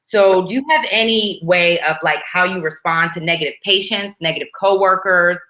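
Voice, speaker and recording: A woman's voice: fairly low pitch, moderate pace, bright, forceful tone, low-quality recording. A woman nurse hosting an interview, friendly and chatty, often saying "like". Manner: friendly, chatty, uses "like" a lot. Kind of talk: casual interview chat.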